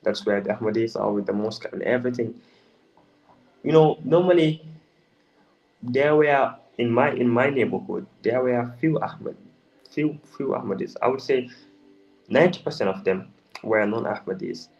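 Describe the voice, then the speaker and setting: A young man from the Gambia, answering interview questions in a hesitant, halting way with many restarts. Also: medium pitch, a little mellow, muffled recording. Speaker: interview guest.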